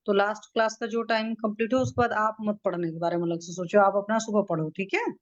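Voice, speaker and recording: A woman's voice: mid-range voice, steady tone, quick pace, dull audio. A woman doctor taking a patient's history over a video call, asking short checking questions and giving reassuring advice. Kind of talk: casual consultation questioning.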